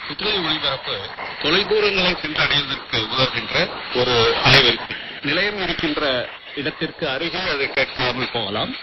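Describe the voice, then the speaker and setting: An older man with an Indian Tamil accent gives formal, measured interview answers in literary phrasing. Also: on the high side, expressive. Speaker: retired radio official, interviewee. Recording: noisy muffled shortwave radio broadcast.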